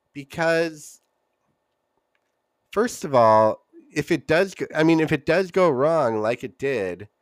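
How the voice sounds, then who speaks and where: A man with a fairly low, smooth and clear voice, recorded close and dry. He talks like a solo podcast host, casual and conversational, leaning emphatically into his opinions. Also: dry recording.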